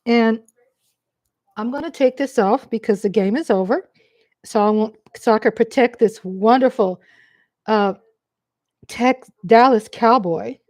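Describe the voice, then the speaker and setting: A woman's voice: on the high side, unhurried, pauses often, darkish tone. A woman from the American South with a Texas accent, hosting a casual live chat stream from home in a playful, teasing way.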